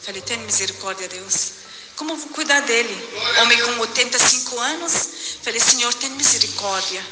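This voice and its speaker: A woman's voice: on the high side, fairly quick. Woman, middle-aged, earnest church testimony, roomy hall, music underneath. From Syria. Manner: earnest, keeps addressing the congregation as brothers. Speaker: church member giving testimony. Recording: echoey hall with music behind.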